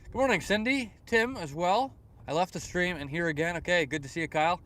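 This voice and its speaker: A young man from Canada talking on a live fishing stream outdoors by a lake. His voice sits a little high for a man. He rambles easily, doubling up words as he answers viewers' comments. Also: brisk, fairly bright tone. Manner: rambling, repeats words, chuckles often. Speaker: fishing livestreamer. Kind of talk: casual live-stream chat reading comments.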